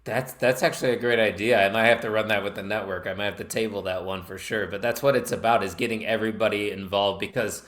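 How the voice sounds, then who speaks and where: An American man with a fairly low voice and a Chicago accent. He talks at length as a podcast guest, earnest and eager, and drifts off the question. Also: steady tone, fast, few pauses, a bit bright. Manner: talkative, earnest, enthusiastic, drifts off the point. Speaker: podcast guest, wrestling network founder. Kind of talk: casual podcast chat.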